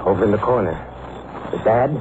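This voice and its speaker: An actor playing an easygoing, soothing racetrack pal in an old radio drama. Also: male voice, lowish voice, a little fast, lightly acted. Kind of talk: 1940s-50s radio drama acting. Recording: muffled old radio recording, faint noise.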